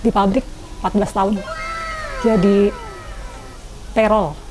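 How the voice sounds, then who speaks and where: A woman's voice: medium pitch, fairly even intonation, measured pace, music in the background, poor audio. A woman of 45 introducing herself and answering questions as a job candidate. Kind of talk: self-introduction and interview answers.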